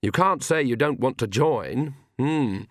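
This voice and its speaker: A man's voice: medium pitch, lively intonation, a little slow, dry recording, clean studio sound, lightly acted. A man playing an anxious man of religion in an audiobook story, hesitant and stammering. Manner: hesitant, stammering with ums and uhs.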